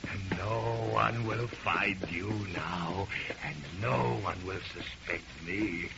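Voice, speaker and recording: A man's voice: sits low, slow pace, full character voice. An actor playing a crazed, murderous family man in an old-time radio horror drama, gloating and ranting melodramatically. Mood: muttering, sinister. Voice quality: gravelly, creaky. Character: crazed murderous husband and father, gloating madman. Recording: noisy muffled old radio recording.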